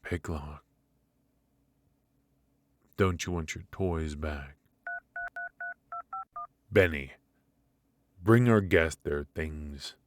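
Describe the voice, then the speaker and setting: A man playing a smug, menacing mafia boss in an ASMR roleplay, courteous but ruthless, smooth-talking and teasing, with deliberate drawn-out pauses. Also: extremely low pitch, slight background noise, heavily acted. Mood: mocking, controlled.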